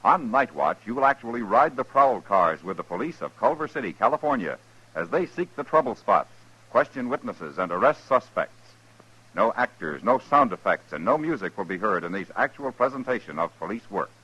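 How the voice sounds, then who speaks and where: A man's voice: lowish voice, very animated, a little fast, pushed and bright. A man announcing formally and emphatically from a script, in the style of 1950s network radio. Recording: dull, rough 1950s radio recording.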